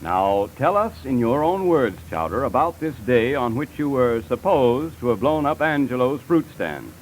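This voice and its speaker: A man's voice: mid-range voice, sing-song, moderate pace, slight background noise, close to an everyday voice, poor audio. Man as florid, swooping defense lawyer. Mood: coaxing. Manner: florid, theatrical coaxing and oratory. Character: bombastic male defense lawyer, mock-tragic pleader. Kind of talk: 1930s radio comedy acting.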